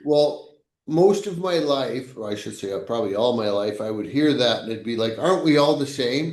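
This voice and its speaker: A man co-hosting a podcast, talking in a casual, halting way as he searches for his words. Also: mid-range voice, lively intonation, a little mellow. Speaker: podcast co-host. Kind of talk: casual conversation. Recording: roomy echo.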